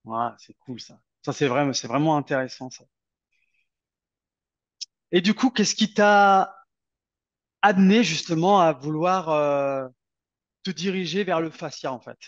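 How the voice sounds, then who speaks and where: A woman's voice: low-pitched, unhurried, long pauses. Muffled-sounding woman interviewer, casual and encouraging. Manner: informal, encouraging listener.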